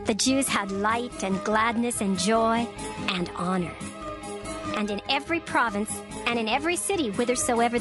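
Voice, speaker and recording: A woman narrating a dramatized scripture reading in a measured, formal manner. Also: on the high side. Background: music.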